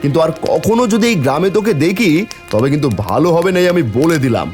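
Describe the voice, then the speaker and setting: A man's voice: a little high, big pitch swings, quick pace, over loud music. A man playing a suspicious, hot-tempered village husband in a folk-tale cartoon, with a dramatic, emphatic delivery. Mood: stern.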